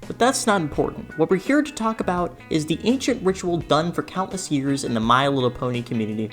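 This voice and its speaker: A woman's voice: low voice, lively intonation, a little fast. A woman narrating a scripted video essay as host, with a theatrical, sarcastic delivery and exaggerated emphasis. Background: background music.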